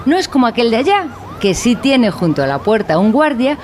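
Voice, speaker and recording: A woman's voice: on the high side, fairly quick, a little hiss or hum. A woman playing an earnest, formal instructor in a satirical educational radio drama. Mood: earnest, didactic. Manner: didactic, deadpan, formal. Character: earnest female instructor of an absurd mock educational course.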